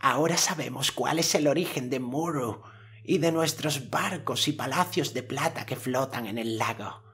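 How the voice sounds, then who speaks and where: A man's voice: mid-range voice, normal speed, a bit bright, pitched above the performer's own voice, close to an everyday voice. A man voicing a dignified, solemn old male elder in a stately, declaiming manner for an audiobook. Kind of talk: audiobook character voice.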